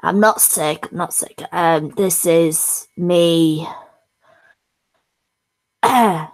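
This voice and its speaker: A woman streamer chatting casually with supporters, hoarse from losing her voice, talking haltingly with whispered asides. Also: on the low side. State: hoarse, has lost her voice. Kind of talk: casual chat.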